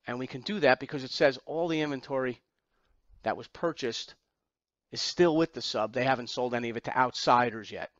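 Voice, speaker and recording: A man's voice: medium pitch, a little fast, a bit bright, no echo, muffled recording. A man teaching an accounting exam class, lecturing through slides step by step with emphatic repetition and rhetorical questions.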